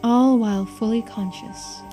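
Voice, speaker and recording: A woman voicing a stern, all-knowing authority on immortality in a visual novel game, with formal, deliberate pronouncements. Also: on the high side, fairly even intonation, dark tone, faint music behind, lightly acted. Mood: grave, matter-of-fact.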